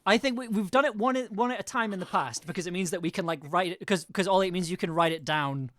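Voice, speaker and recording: A woman's voice: on the low side, fast, no echo. A woman hosting a casual live panel, informal and often repeating phrases as they go. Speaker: host.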